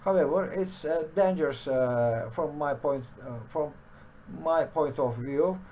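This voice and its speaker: Brief: middle-aged man, very slow tutorial delivery, slightly high voice, constant fillers. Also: darkish tone, a little hiss or hum. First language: Turkish. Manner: halting, many "uh" fillers. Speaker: programming teacher. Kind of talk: screen-share coding tutorial. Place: home study with bookshelves.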